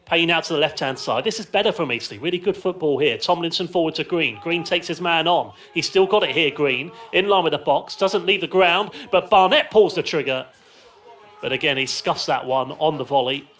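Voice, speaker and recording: A man commentates live on a football match for radio. His voice sits a little higher than average. He speaks in short, descriptive bursts that follow the ball, calling it from a stand at the ground. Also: fairly bright tone. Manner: short clipped descriptive phrases, lifting with the play.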